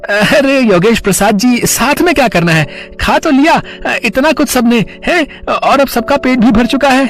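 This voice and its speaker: A man's voice: extremely high pitch, expressive, moderate pace, a bit bright, soft background music. A man voicing a mild, agreeable middle-aged husband in a cartoon, polite and a little hesitant. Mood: protesting. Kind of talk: cartoon voice acting.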